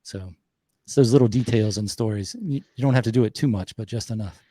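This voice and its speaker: A man with a Southern US accent hosting a podcast, talking reflectively and unhurriedly, with pauses. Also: lowish voice, mellow, no room sound. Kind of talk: casual conversation.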